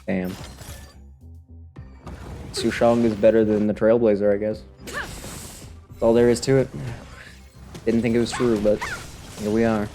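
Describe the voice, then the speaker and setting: A man's voice: on the low side, flat delivery, unhurried, long pauses, dark tone. Wry man streaming a game over music. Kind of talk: casual live gameplay commentary.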